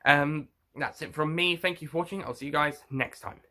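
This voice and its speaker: A man talking casually to viewers in an unscripted review, halting and rambling as he often rephrases himself. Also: fairly high pitch, bright, forceful tone. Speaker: review show host.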